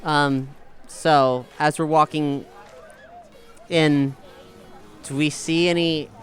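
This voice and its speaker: A man's voice: on the high side, steady tone, extremely slow. A man playing a tabletop game, improvising casual in-character talk in an informal, hesitant manner. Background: music.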